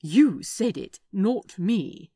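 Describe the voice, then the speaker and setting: Woman playing a prim, indignant male angel, animated. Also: medium pitch, drawn-out words, breathy edge, no room sound, studio quality, exaggerated voice. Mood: exasperated. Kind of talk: fan fiction dialogue reading.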